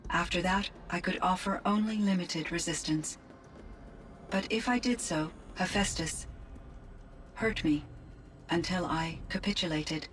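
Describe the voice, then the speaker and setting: A woman voicing a gentle, courteous artificial intelligence in a video game, with precise, measured, formal diction. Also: fairly low pitch, faint music behind, close to an everyday voice. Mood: troubled, confiding.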